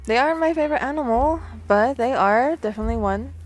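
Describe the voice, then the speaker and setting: A woman's voice: a little high, lively intonation, fast. A woman acting as a bubbly, slightly awkward woman out speed dating, talking straight to the listener in an ASMR roleplay. She rambles playfully and hesitates in a natural, giggly, conversational way. Music plays behind her voice. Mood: playful, chatty.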